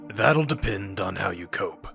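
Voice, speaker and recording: A man playing a battle-scarred military unit commander in an audio drama, gruff, measured and authoritative. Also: low voice, expressive, over music, full character voice. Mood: stern.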